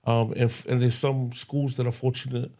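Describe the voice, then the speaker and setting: A young man co-hosting a casual podcast, talking in a halting way with lots of "um" and "yo" interjections. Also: mid-range voice, flat delivery, a little mellow. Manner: halting, many fillers and restarts, says yo often. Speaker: podcast co-host. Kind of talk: casual chat.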